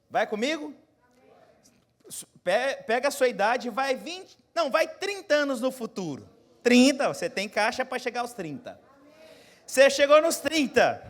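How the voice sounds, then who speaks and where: A Brazilian pastor in his forties preaching a sermon, moving from quiet, close asides to loud, rising exhortation and putting questions straight to his congregation. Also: very high-pitched. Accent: Brazilian Portuguese. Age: 40s. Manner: talks straight to the congregation, asking them questions.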